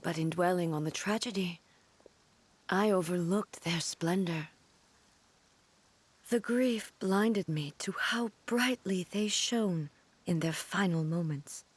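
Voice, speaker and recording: A woman playing a solemn, regal immortal ruler in an anime game, with formal, measured, earnest speech. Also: lowish voice, husky. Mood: sorrowful, solemn. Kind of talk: dramatic anime game voice acting.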